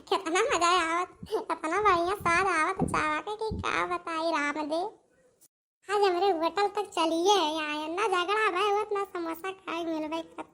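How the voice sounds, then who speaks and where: A woman's voice: very high-pitched, fairly bright tone. A woman voicing a feisty, easily offended village wife in a cartoon comedy, with an Awadhi accent and a rustic scolding manner.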